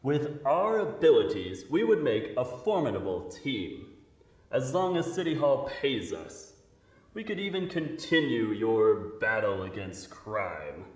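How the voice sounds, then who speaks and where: Man acting slow, scheming radio villain. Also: medium pitch, big pitch swings, darkish tone, roomy sound, distant mic, low-quality recording. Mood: smug, scheming. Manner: theatrical, deliberate, menacing. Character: Dark Shadow, smug scheming invisible villain, extorting the city. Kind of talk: 1930s radio drama acting.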